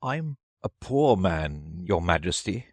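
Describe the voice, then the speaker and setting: A man's voice: sits low, very animated, slow, dry recording, full character voice. A man voicing a nervous, pleading Hatter with a trembling voice in a storybook reading. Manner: anxious, pleading. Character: nervous, miserable, poor Hatter pleading in court. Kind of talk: storybook character voice.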